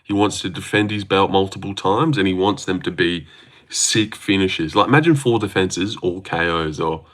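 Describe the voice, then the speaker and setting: A man's voice: sits low, normal speed, a bit bright. An Australian man hosting a casual livestream, answering viewer comments in a rambling, joking way with lots of fillers and restarts. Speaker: livestream host.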